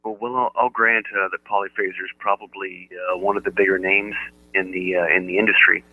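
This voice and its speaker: A man chatting casually over amateur radio, explaining technical things in a halting, methodical way. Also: deep voice, flat delivery, pushed and bright, slight background noise. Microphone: radio transceiver audio output. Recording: narrow muffled radio audio, dry.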